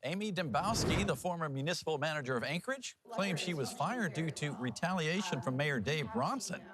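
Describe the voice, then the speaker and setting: Animated male TV news anchor. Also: a little high, brisk, a crowd in the background. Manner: jokey, conversational. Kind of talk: news presenting with casual banter.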